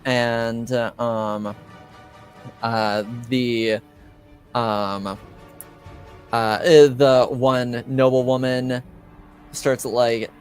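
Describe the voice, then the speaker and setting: A man serves as game master, improvising the scene at a slow pace. His delivery halts often, packed with filler words, and his pitch sits in a middle range. Faint music plays behind him. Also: clear, resonant tone. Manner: halting, hesitant delivery with very frequent filler words. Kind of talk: improvised narration of a tabletop roleplaying game.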